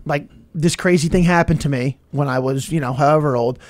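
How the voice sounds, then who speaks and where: A man's voice: on the high side, fairly quick, a little mellow, dry recording. A man who works as a comedian, chatting casually on a podcast. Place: small podcast studio. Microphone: close studio microphone with headphones.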